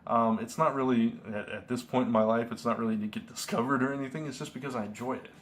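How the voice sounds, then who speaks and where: A slightly hoarse young man talking casually to camera in a vlog, earnest and rambling with lots of fillers. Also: medium pitch, fairly even intonation, fast, a little hiss or hum. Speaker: vlogger.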